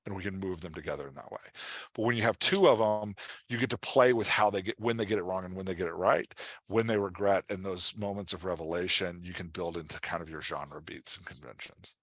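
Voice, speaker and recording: A man's voice: low voice, a little fast, a bit bright, no echo. A man teaching live online as a writing coach, explaining in a conversational, encouraging way and repeating phrases for emphasis. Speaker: writing coach answering audience questions.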